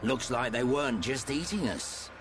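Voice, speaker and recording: An actor playing a gruff, teasing older man who trades gear, in a video game cutscene. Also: medium pitch, expressive, moderate pace, over music, lightly acted, low-quality recording.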